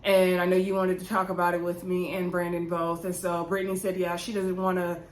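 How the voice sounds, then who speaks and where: A woman's voice: lowish voice, completely flat delivery, a little fast, slight background noise. Opinionated woman recapping in an echoey room. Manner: conversational, opinionated, addresses viewers directly. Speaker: YouTube reality show recap host. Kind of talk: casual chatty TV episode recap. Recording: roomy, echoey indoor sound.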